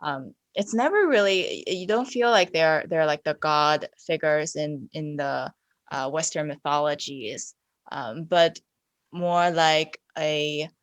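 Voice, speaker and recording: A woman's voice: sits low, slow, no room sound. A woman on a podcast panel reflecting casually and hesitantly as she searches for words.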